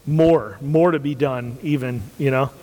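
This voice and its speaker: Loud-voiced earnest man in reverberant room. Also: mid-range voice, unhurried. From United States. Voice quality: loud, carrying voice. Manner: earnest, repeats phrases for emphasis. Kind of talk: casual faith talk.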